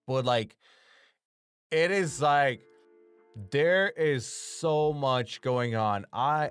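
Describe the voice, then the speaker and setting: A man's voice: mid-range voice, clear, resonant tone. A young man from Romania with a slight Romanian accent, chatting casually with stream viewers, rambling with lots of "like" and freely swearing. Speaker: streamer and voice actor.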